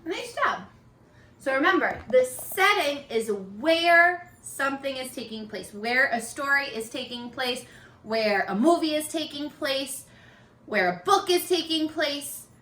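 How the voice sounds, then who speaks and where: Bright, high-voiced woman teaching young children. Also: a little slow. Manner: expressive, encouraging, addressing young children. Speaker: elementary school teacher. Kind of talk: children's read-aloud lesson with questions. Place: living room at home. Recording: roomy, echoey home video.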